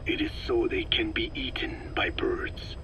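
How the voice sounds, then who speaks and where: An actor playing a cold, older male scientist who lectures slowly in a gravelly whisper, on a game audio tape. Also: mid-range voice, steady tone, pushed and bright, dull audio, a little hiss or hum. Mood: clinical. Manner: slow, lecturing, measured. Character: cold elderly male parasite scientist, calm and clinical. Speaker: scientist explaining parasites. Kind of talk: game audio log acting.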